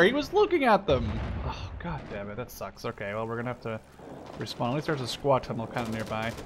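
A man's voice: mid-range voice, background noise. A young man giving casual, rambling commentary over his own gameplay, now and then swearing at surprises. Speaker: gaming channel host. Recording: game gunfire and artillery behind voice.

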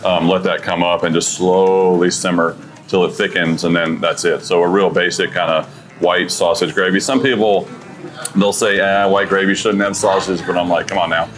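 Low-voiced easygoing restaurant owner. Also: male voice, level tone, normal speed. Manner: easygoing, enthusiastic, fillers like "you know". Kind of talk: casual chat about food. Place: restaurant dining room, indoors. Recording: music playing underneath.

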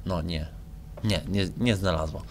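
Casting: young man, low voice, casual gamer commentary, hesitant and colloquial, noisy home mic. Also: a little slow. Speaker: gaming video creator. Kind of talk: casual rambling talk over gameplay. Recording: noisy home microphone recording. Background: noise with car engine sounds from the game.

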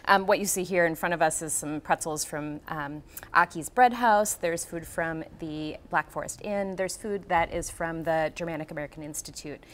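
Brief: woman, 30s, enthusiastic event guest on TV, lowish pitch. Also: normal speed. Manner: enthusiastic, upbeat. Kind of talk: live TV interview. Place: TV studio kitchen set. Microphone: lapel mic.

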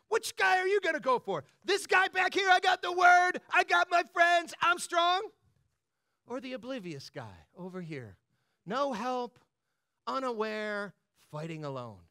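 Guy pastor preaching, super animated up and down delivery, talks like your bro, dry close sound. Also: very high voice, no echo. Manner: animated, colloquial, punctuates points with "right?" and "bro". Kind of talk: conversational, motivational sermon.

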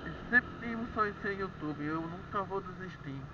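High-pitched Brazilian man on a noisy motorbike. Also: normal speed. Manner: informal, addresses viewers repeatedly. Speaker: motorcycle vlogger and delivery rider. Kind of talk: casual chat to viewers while riding. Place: outdoors on a moving motorcycle at night. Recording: muffled action-camera audio with loud engine noise. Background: motorcycle engine and road noise.

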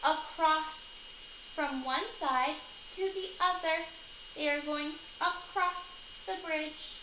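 A young woman giving a children's read-aloud lesson in a deliberate, instructional way, recorded indoors in a living room. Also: high-pitched, fairly even intonation, sharp tone. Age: young adult. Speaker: teacher reading aloud. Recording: echoey room, noisy home recording.

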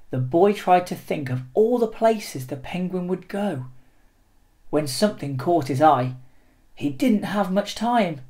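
Slow storyteller reading a kids picture book out loud, deliberate and dramatic, expressive. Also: mid-range voice. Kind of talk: expressive children's picture book read-aloud.

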